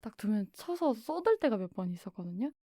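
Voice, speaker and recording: Mellow-voiced female radio guest. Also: mid-range voice, very animated, brisk, no room sound, studio quality. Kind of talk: casual radio chat.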